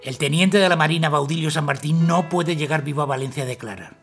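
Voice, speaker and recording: A cold army colonel , acted by a man, speaks in a gravelly, creaky voice pitched a little high. He is formal and measured, with quiet menace, over prominent guitar music in a radio drama. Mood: coldly menacing.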